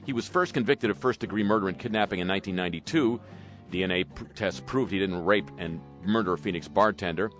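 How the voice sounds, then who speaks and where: Low-voiced wry male radio host. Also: quick pace, a bit bright, faint music behind. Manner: wry, sarcastic asides. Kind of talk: radio talk commentary.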